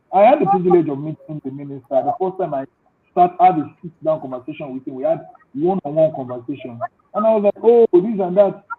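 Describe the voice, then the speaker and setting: A man's voice: a little high, slight background noise. A man with a Nigerian accent, speaking as a panelist over a call and earnestly explaining his points with proverbs and examples. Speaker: panelist, agribusiness owner. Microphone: remote call audio. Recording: tinny call line.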